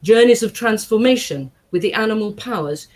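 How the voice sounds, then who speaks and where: A woman reading aloud to a congregation in an expressive style, with measured, deliberate phrasing and emphatic pauses. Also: mid-range voice. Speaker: guest reader at a synagogue service. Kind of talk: expressive book reading with short spoken introduction.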